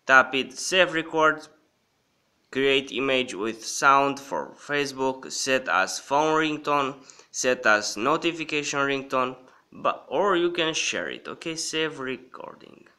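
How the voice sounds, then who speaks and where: A man hosting a casual app walkthrough in a halting manner, often checking in with the viewer. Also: mid-range voice, animated, sharp tone, smooth. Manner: halting, repeatedly checking in with "okay". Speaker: host of an app review channel.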